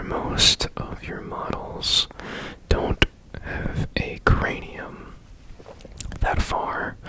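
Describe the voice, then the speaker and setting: A man playing a strange, curious, gently reassuring repairman in a whispered ASMR roleplay, speaking politely and haltingly in a breathy hush. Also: close to an everyday voice. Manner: slow, hushed, polite, halting.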